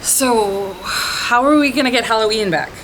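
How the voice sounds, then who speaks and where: An actress playing a brisk ex-ghost-hunter turned eager TV producer, speaking purposefully in a campy comedy sketch. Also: female voice, on the high side, fairly bright tone, slight background noise, rough recording.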